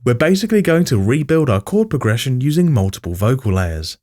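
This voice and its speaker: A man delivers scripted tutorial narration as a host would, with a pitch that moves around in an animated way. His voice sits in a middle register. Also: fairly quick. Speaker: music production tutorial host.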